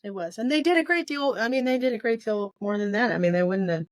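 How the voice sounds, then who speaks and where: A woman's voice: fairly high pitch, fast, dry recording. Emphatic woman in casual discussion, close dry sound. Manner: emphatic, repeats points for stress. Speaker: podcast co-host.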